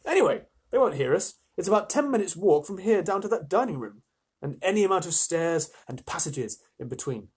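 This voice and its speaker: A man's voice: high-pitched, very animated, fairly quick, a little mellow, breathy edge, voice pushed up, heavily acted. A man voicing a confident, eager schoolboy with old-fashioned British enthusiasm in a storybook reading.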